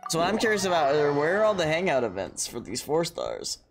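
A man's voice: fairly high pitch, huge pitch swings, brisk. A young American man doing casual, slangy gameplay commentary in an offhand, musing way as a livestreamer. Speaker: video game livestreamer. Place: indoor streaming room with webcam. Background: game music.

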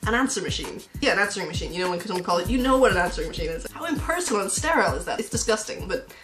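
A woman's voice: mid-range voice, very animated, very fast, over music. A young woman in her twenties chats in a casual comic vlog manner. She is playful and self-mocking, with a mid-range voice, and the webcam sound carries plenty of room echo. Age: 20s. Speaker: comedy vlogger. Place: small room at home. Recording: roomy home webcam sound.

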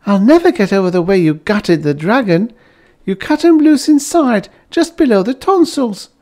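A man's voice: high-pitched, sing-song, normal speed, darkish tone, pitched above the performer's own voice. A man voicing a chirpy, adoring pioneer wife in an audiobook story, in a gushing, wide-eyed manner. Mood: gushing delight.

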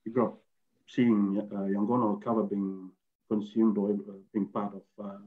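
A man from Fiji, a guest on a video call, explaining in a halting way with many fillers and restarts. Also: fairly low pitch, level tone. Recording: tinny video-call audio.

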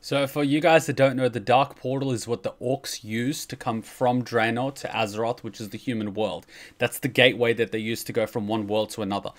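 A man's voice: medium pitch, fairly even intonation, fairly quick. A young adult man giving casual livestream commentary as the host of a reaction stream. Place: bedroom, indoors.

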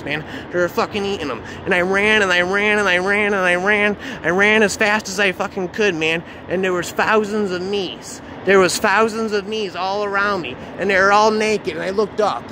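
A man with a high voice improvises a dark first-person story. He sounds agitated, repeats himself and swears in casual slang. The recording is noisy, made outdoors. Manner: agitated, repetitive, profane, slangy.

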